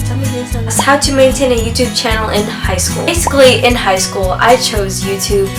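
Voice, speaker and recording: A raspy-voiced young woman, chatting upbeat with lots of "like" fillers, answering questions in a sit-down vlog at home. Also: on the high side, fairly even intonation, normal speed, over loud music. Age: young adult. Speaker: YouTuber. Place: indoors at home, sitting on a yoga ball.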